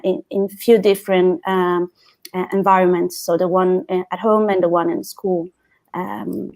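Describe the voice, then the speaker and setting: A woman's voice: medium pitch, darkish tone. Italian-accented woman, hesitant roundtable guest. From Italy. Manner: hesitant, laughs between phrases. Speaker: teacher guest. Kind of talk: roundtable discussion.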